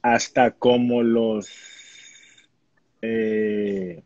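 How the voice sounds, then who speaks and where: A young man with a Dominican Spanish accent and a husky voice, casually telling stories as an interview guest on a phone video call. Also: mid-range voice, fairly even intonation, very slow, darkish tone, no room sound. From Dominican Republic. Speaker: interview guest, baseball player. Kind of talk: casual conversational storytelling. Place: indoor room at home. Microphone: phone on a live video call. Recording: muffled video-call audio.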